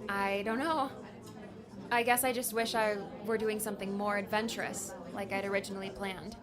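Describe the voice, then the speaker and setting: A woman's voice: medium pitch, steady tone, normal speed, crowd noise, lightly acted. A woman playing a friendly, slightly hesitant young woman in an audiobook drama, talking in a casual, tentative way.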